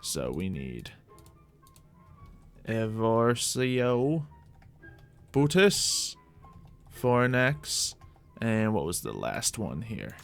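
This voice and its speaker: A man's voice: lowish voice, wildly swooping pitch, extremely slow, vocal fry, long pauses. Man musing through game commentary over music. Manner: mock-archaic, formal fantasy phrasing. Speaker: host of a gaming series. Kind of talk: gaming commentary, thinking aloud in light roleplay.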